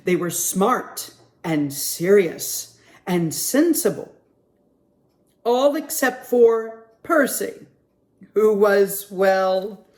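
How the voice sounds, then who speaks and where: A man reading a picture book aloud at a children's story time, with a gentle, expressive manner. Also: high-pitched.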